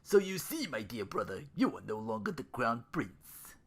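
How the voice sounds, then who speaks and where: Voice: mid-range voice, big pitch swings, moderate pace, slightly rough. A performer playing a smug, taunting princess. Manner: taunting, formal. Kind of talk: dramatic fan fiction reading.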